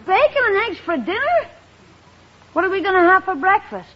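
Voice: very high-pitched, big pitch swings, a little slow, heavily acted. An actor playing a wry, grumbling young schoolboy who talks in slang, in an old radio comedy. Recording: noisy, muffled vintage radio recording, audience.

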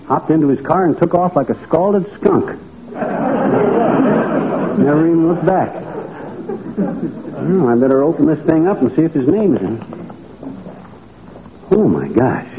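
Excitable middle-aged folksy grumbler, animated vintage radio comedy delivery, noisy narrow-band sound. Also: male voice, a little high, unhurried, pauses often. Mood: amazed, gleeful. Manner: indignant folksy exclamations and colorful grumbling. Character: excitable, blustering, folksy man with big grumbles. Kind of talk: 1940s-50s radio comedy acting. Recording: tinny, noisy old radio recording.

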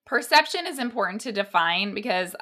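Bright, mid-pitched woman explaining things casually. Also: very animated, normal speed. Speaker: podcast co-host. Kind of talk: casual explanatory podcast chat.

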